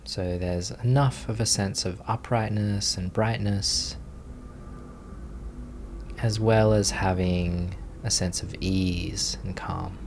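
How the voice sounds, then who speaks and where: A man leading a guided meditation as a teacher, speaking gently and calmly, unhurried, with long pauses between phrases. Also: on the low side, a little hiss or hum.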